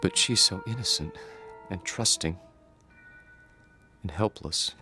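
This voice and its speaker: A man's voice: low-pitched, slow pace, sharp tone, full character voice. An actor in a radio drama playing an earnest, fragile man who pleads and stammers naively. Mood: earnest, wistful. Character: earnest, fragile man insisting his hallucinations are real. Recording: radio drama with music underneath.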